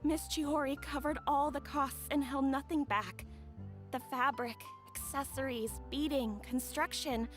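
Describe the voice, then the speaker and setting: A woman's voice: sits high, fairly even intonation, unhurried, soft background music. A young woman playing a sweet, humble, grateful bride-to-be in a video game. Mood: earnest, hesitant.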